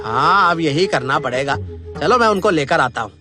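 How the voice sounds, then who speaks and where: A man's voice: a little high, animated, moderate pace, over music, close to an everyday voice. A man playing a two-faced, wheedling grown son in a Hindi cartoon story, with exaggerated pleading delivery. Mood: plotting.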